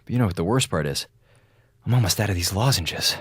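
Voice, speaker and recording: An actor playing a chatty, sarcastic space station communications officer with an American accent, logging theatrically in a radio drama. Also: male voice, low voice, animated, fast talker, heavy background noise. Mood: unwell, downplaying. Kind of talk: radio drama audio log monologue. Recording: music behind the voice.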